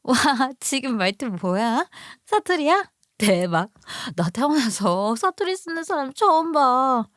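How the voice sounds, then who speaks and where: A nosy, mocking teenage schoolgirl, as a woman plays her with a high, rushed voice. She chatters and teases in a radio story. The sound is dry and close. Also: sing-song, a bit bright, no room sound, heavily acted. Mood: teasing.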